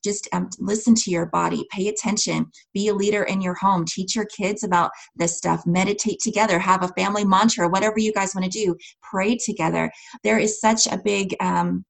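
A woman's voice: mid-range voice, steady tone, a little fast, gravelly, few pauses, low-quality recording. A woman hosting a podcast, speaking in an effusive, encouraging way in a conversational interview style. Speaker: podcast host.

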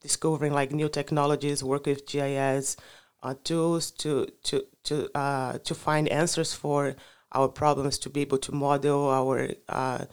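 Halting man, smooth voice. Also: medium pitch, flat delivery. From Brazil. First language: Portuguese. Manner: halting, searching for words with many fillers. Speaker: guest. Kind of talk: casual interview answers.

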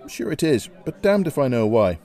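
A man's voice: on the low side, very animated, slow, a little mellow, soft background music, some chatter behind. A man reading aloud and voicing a naive, easygoing young Irish man who speaks without guile.

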